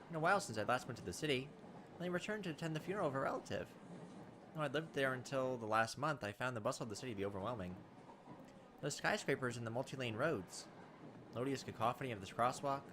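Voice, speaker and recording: A man's voice: medium pitch, background noise. A man hosting a let's play, reading a visual novel's narration aloud with casual asides. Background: game music behind the voice.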